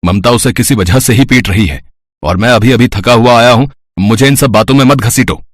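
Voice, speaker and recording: A man's voice: medium pitch, brisk, natural voice. A man playing a tired, callous adult son in a story, irritated and dismissive.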